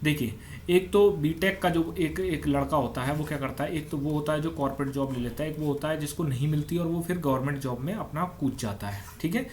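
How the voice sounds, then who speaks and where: A man's voice: fairly high pitch, a little fast, darkish tone. A man giving an informal explainer as an exam coach, conversational and repeating points for emphasis. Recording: noticeable background noise.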